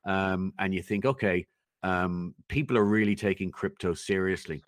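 A man giving considered opinions as a guest in a conversational interview, thoughtful and measured, often pausing as he goes. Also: sits low, steady tone, no echo, clean studio sound. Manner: thoughtful, measured, halting with pauses. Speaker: interview guest, technology commentator.

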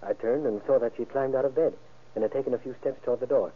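An actor playing a shaken, wounded husband in an old-time radio crime drama, speaking haltingly and earnestly. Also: male voice, medium pitch, husky, natural voice. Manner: halting, earnest witness account. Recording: muffled, band-limited vintage radio recording.

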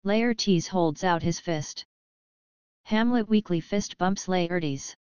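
A woman's voice: on the low side, slow pace, a little mellow, smooth, dry recording, dull audio. A woman reading stage directions as the scripted narration of an audio play.

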